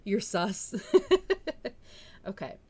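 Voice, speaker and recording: A woman streamer chatting casually and reading a novel aloud, with an excitable, bubbly manner. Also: medium pitch, drawn-out words, slightly rough. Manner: excitable, bubbly, breaking into laughter. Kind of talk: casual livestream chat and book narration.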